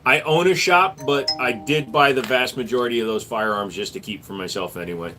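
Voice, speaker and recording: A man who runs a gun shop, hosting a casual livestream where he reads viewer messages aloud and answers them in an offhand, relaxed way. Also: mid-range voice, brisk, faint music behind.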